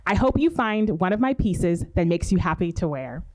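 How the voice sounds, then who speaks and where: A woman's voice: on the low side, animated, normal speed, a little mellow. Friendly woman host reading an introduction. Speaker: host of an arts interview series. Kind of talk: reading introductions and asking questions.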